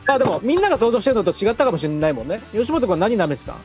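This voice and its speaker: A young man speaks quickly in casual banter as the host of a comedy stream. His voice swings widely in pitch and sits high. It comes over a video call with faint music behind. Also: very animated.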